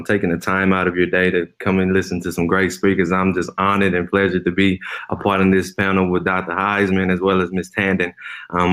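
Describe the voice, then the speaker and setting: A young man speaking as a panelist, a former pro football player introducing himself with earnest, run-on storytelling. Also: low voice.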